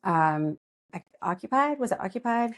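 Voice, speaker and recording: A woman's voice: mid-range voice, animated, normal speed. A woman from the United States hosting a podcast, asking curious questions and affirming her guest. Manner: curious, affirming, many backchannels. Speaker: podcast host. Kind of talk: casual podcast interview.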